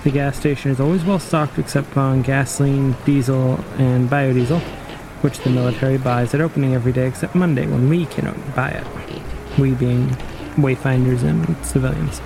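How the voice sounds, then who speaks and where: A man playing a dry, matter-of-fact post-apocalyptic wayfinder in an audio drama, speaking in a measured, precise way. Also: medium pitch, steady tone, gravelly, over music, natural voice, low-quality recording. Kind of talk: audio drama interview storytelling.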